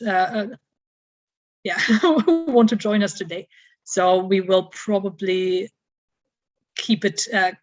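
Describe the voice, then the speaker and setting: Halting woman moderating an online panel. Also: mid-range voice, dull audio. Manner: halting, with many fillers and restarts.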